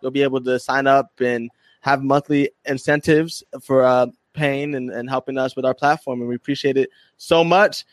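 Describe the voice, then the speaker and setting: A man's voice: mid-range voice, fairly even intonation, moderate pace, hardly any pauses, no room sound. A male podcast host on a call, casual and effusive, full of praise as he wraps up an interview and plugs his show. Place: remote video call.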